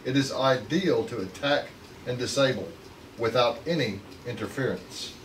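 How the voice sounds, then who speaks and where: A man's voice: medium pitch, normal speed, natural voice. A man playing a cold, scheming villain who gives orders in a retro space adventure read-through. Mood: businesslike, scheming. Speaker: actor at a script table read. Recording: echoey room, distant mic, faint noise.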